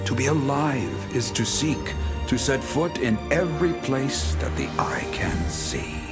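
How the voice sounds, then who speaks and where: A man's voice: sits low, full character voice. A man playing an aging, solemn adventurer in a video game, delivering a grave, poetic monologue with weary hope. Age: older.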